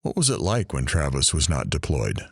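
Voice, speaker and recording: A sympathetic show host, acted by a man in an audio drama. His voice sits low and sounds dry, with no room around it. He questions attentively, gently prompting the story along. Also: huge pitch swings, normal speed, slightly rough, dry recording, heavily acted. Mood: curious, sympathetic. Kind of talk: audio drama acting, interview.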